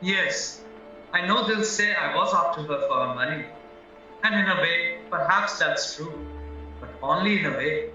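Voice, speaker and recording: A man's voice: fairly high pitch, animated, a little slow, sharp tone, exaggerated voice. A man acting a frank, flustered young married murder suspect in an audio play, hesitant and earnest as he protests. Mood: frank, uneasy. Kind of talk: stage-style courtroom audio drama acting. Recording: echoey, distant, dull, music behind.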